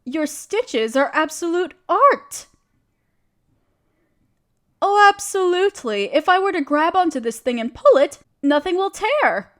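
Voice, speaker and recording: A woman's voice: very high voice, big pitch swings, a little slow, heavily acted. A woman playing a friendly, genteel witch who chats kindly in quaint, dainty phrases, in an audio roleplay. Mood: warm, admiring. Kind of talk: scripted audio roleplay speaking to the listener.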